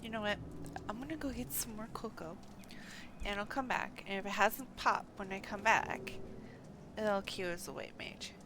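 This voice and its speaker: Woman streamer over clear background noise. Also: mid-range voice, moderate pace. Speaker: game streamer. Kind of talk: casual livestream gameplay chat. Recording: noisy room, steady background noise.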